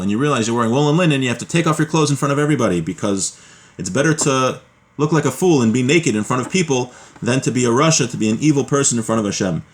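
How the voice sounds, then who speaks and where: A man teaching a religious class, reading a text aloud and explaining it as he goes, with halting repeats and restarts. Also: mid-range voice.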